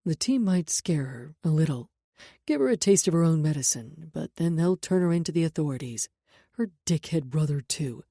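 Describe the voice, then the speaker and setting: Protective man, low and soothing, voiced by a female narrator, calm, dry close sound. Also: normal speed, dry recording. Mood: tender. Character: protective male bodyguard, steady and tender.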